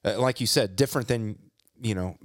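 A man co-hosting a casual podcast, speaking thoughtfully and haltingly, asking his guest questions. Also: on the low side, dry recording, studio quality. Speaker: podcast co-host.

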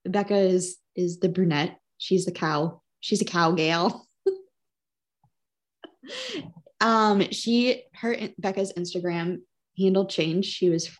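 A woman's voice: fairly low pitch, fairly even intonation, takes it slowly, pauses often. A woman chatting casually as a podcast co-host, informal and teasing in a playful way. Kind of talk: casual chat.

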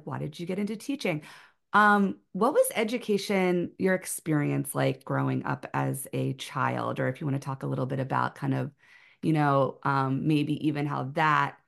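Low, gravelly-voiced woman podcast host. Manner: enthusiastic, gushing. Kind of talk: scripted intro then casual interview.

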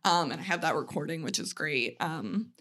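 A woman's voice: sits low, lively intonation, moderate pace, a bit bright. A woman in her thirties chatting candidly and casually as a comedian guest on a podcast, often saying "like". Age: 30s.

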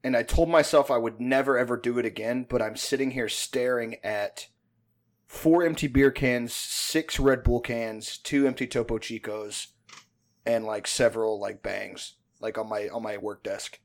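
Fifties man, mid-pitched, rambling and swearing casually. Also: steady tone, normal speed. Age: 50s. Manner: rambling, profane, self-deprecating. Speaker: podcast host. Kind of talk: casual chat.

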